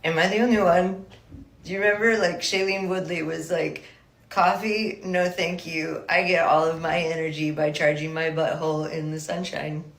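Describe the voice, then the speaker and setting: A woman's voice: low voice, steady tone, normal speed. A woman in casual comic chat, asking incredulous rhetorical questions. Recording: echoey room.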